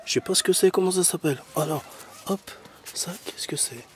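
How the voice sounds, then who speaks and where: A man's voice: high voice, fairly quick. A man chatting casually and slangily in a teasing, mock-complaining way as he films outdoors on a handheld camera. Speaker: vlogger filming a garden. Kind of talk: casual rambling video commentary. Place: outdoors in an overgrown garden. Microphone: handheld camera mic.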